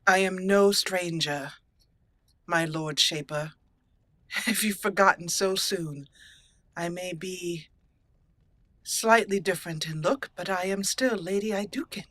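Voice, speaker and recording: A woman voicing a proud, dignified exiled noblewoman warrior in a roleplayed video game, speaking formally and deliberately. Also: on the low side, fairly bright tone, no echo. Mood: proud, wounded.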